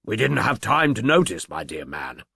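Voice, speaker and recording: A man's voice: on the low side, very animated, moderate pace, vocal fry, pushed and bright, slightly rough, no echo, exaggerated voice. A man voicing a disdainful, sniffy man with a growling voice in an audiobook.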